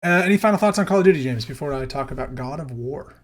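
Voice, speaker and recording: A man's voice: fairly high pitch, expressive, fast talker. A man hosting a gaming podcast in a casual, conversational style. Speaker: podcast host.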